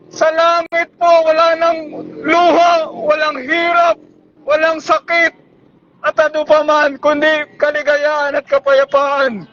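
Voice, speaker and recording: A Filipino man preaching an evangelistic street sermon, pleading and calling out loudly to passers-by. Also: very high voice, dull audio, slight background noise. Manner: pleading, shouting exhortation. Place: busy public bus terminal.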